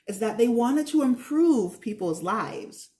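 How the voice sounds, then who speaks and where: A woman's voice: fairly high pitch, lively intonation, unhurried, dark tone. A woman voicing a kindly, wise handyman in a storybook, explaining history in an earnest, encouraging way.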